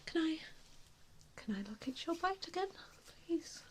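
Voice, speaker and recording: A woman performing a softly spoken ASMR roleplay as a gentle, reassuring vampire mentor, with a soothing, hesitant manner. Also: extremely high pitch, animated, a little slow, a little hiss or hum.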